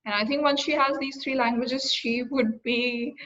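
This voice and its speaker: A woman's voice: high-pitched, steady tone, normal speed, a bit bright. Indian-accented woman on a slightly dull recording. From northern India. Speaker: podcast guest, career coach. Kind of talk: casual interview chat.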